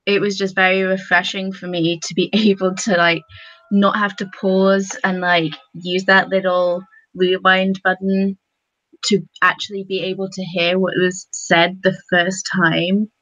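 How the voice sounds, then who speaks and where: A woman's voice: mid-range voice, level tone, unhurried, a bit bright, dry recording. A woman speaking hesitantly and appreciatively as a panelist in a casual online discussion. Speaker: podcast panelist. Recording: slightly dull, lo-fi audio.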